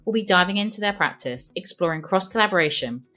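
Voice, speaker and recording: Low-voiced, croaky woman podcast host. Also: animated, fast, sharp tone, faint music behind. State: croaky voice from recent illness. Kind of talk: scripted intro, then casual interview chat.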